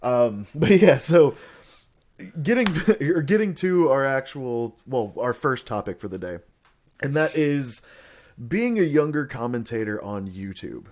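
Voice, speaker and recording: A man's voice: mid-range voice, big pitch swings, a little slow, a little mellow. A young man hosting a casual podcast chat. He rambles, often restarts his sentences and laughs between thoughts. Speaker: podcast host.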